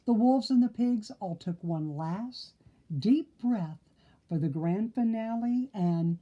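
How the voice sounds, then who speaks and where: A woman's voice: mid-range voice, expressive, slow pace, muffled recording. An older woman reading a children's story aloud expressively, with theatrical, playful emphasis. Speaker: storybook reader.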